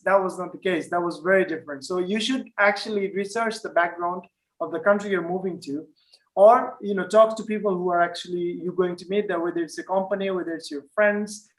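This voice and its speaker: A man's voice: high voice, poor audio. A man from Finland speaking as a panel guest in a live online discussion, conversational with frequent fillers and restarts.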